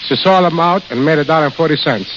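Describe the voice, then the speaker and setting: A man's voice: a little high, quick pace. A plain-spoken man being interviewed as a guest on a 1930s radio show, telling anecdotes. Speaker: studio caterer, interview guest. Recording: hissy, crackly dull 1930s radio recording.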